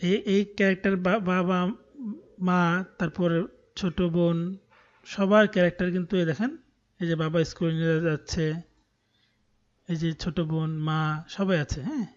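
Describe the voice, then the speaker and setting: A man's voice: high-pitched, fairly even intonation, normal speed, pauses often. A man with a Bangladeshi accent giving an explanatory screen-recorded tutorial, often checking in with listeners. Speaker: online course tutor. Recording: dull, muffled lo-fi voice recording.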